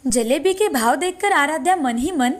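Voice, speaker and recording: A woman's voice: high voice, expressive, moderate pace. A woman reading a scripted children's story as narrator. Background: faint music.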